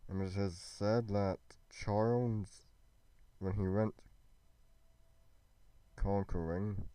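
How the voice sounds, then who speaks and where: Man acting as noble young fantasy warrior, solemn, portentous, halting, low mellow male voice, dry close sound, roleplay drama. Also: steady tone, smooth, dry recording. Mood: wondering, halting.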